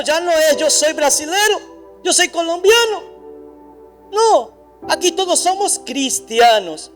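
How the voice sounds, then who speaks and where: A man's voice: very high voice, fairly bright tone. A pastor preaching a sermon in a church, emphatic and repetitive, speaking straight to his congregation. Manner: addresses the congregation directly.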